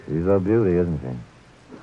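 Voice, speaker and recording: In an old, muffled radio broadcast, a wry, hard-boiled private detective. His delivery is dry and wisecracking, with a lively, rising and falling voice. It is classic 1940s radio acting. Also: sits low, slow pace, very noisy.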